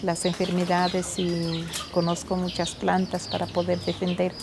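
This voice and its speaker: A woman's voice: lowish voice, monotone, a little slow, vocal fry, background noise. An older woman from Colombia, a traditional weaver and midwife, telling her story plainly in a documentary interview.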